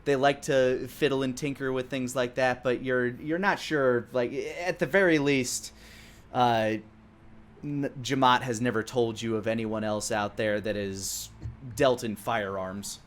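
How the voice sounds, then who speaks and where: A man narrating a tabletop game as dungeon master, improvising and pausing often to search for words. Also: mid-range voice, fairly even intonation, a little hiss or hum.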